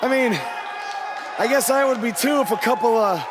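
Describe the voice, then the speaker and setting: An actor playing a cocky, brash young indie wrestler and needling prankster in a video game cutscene, with a goading manner. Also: male voice, extremely high pitch, moderate pace. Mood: cocky taunting. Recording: noisy arena, clear crowd behind.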